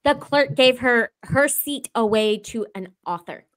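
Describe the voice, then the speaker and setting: A woman's voice: a little high, a little slow, a bit bright, low-quality recording. A woman panelist chatting informally on a live stream. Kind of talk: casual chat.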